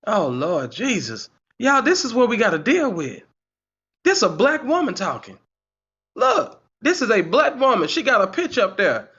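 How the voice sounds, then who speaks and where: A man in his forties hosts a heated commentary stream, his voice sitting high for a man. He repeats himself and keeps asking the chat rhetorical questions. The sound is dull and lo-fi. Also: wildly swooping pitch, moderate pace, a bit bright, breathy edge. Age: 40s. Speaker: channel host. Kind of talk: livestream commentary, reading the chat.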